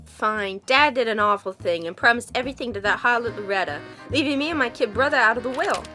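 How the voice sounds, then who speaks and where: A woman's voice: fairly high pitch, expressive, fast, bright, forceful tone. A woman playing a fiery, bitter daughter in her late teens in a noir radio drama, sassy and combative. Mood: bitter, angry. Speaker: student voice actor. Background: music.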